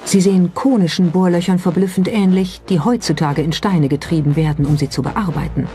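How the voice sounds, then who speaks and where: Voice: medium pitch, a little fast, dark tone. A narrator reading a scripted documentary in a pointed, skeptical, rhetorical manner. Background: background music.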